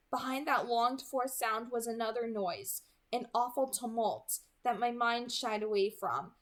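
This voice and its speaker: A woman hosting a read-aloud, speaking in an earnest, expressive storytelling way. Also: a little high. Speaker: host reading a novel aloud. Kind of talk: dramatic audiobook-style read-aloud with casual introduction.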